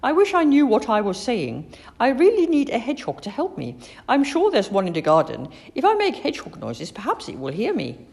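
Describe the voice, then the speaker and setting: A woman voicing an excited, earnest young schoolgirl in a children's storybook reading. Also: on the low side, a little fast, gravelly, heavily acted. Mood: determined. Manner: earnest, exclaiming. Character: excited, eager young schoolgirl who loves hedgehogs.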